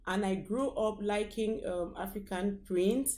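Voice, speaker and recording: A woman's voice: medium pitch, unhurried, darkish tone. A woman in her thirties with a Cameroonian accent, answering as an interview guest in short, emphatic, listed phrases. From northwest Cameroon. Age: 30s. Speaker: guest, fashion designer and business founder. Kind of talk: interview answers about own experience. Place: indoor studio.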